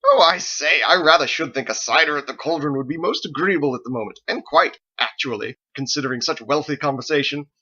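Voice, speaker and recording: A man's voice: on the high side, fairly quick, few pauses, bright, forceful tone, highs cut off, voice pushed up. A man voicing a mocking joker in an audiobook who parodies pompous speech with exaggerated, gushing, over-formal phrasing. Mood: gleeful mockery. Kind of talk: audiobook character voice.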